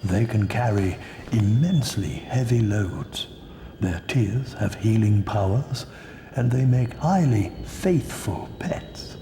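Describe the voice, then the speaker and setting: A man playing a wise old headmaster wizard in a video game, calm, gentle and measured. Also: fairly low pitch, creaky, full character voice. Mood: calm, gravely kind. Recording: echoing hall, faint noise.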